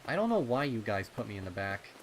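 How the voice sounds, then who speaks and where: A man's voice: lowish voice, big pitch swings, fast talker, noisy background. A man voicing a nervous, touchy young colt pony in a storybook reading. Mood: nervous, pleading. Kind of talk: storybook character dialogue.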